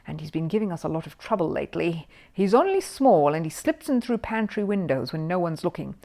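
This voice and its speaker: A woman voicing an officious male police detective in a children's story, brisk and easily surprised. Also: lowish voice, very animated, gravelly, warm tone. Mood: confidential. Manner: confidential, hesitant at times, brisk.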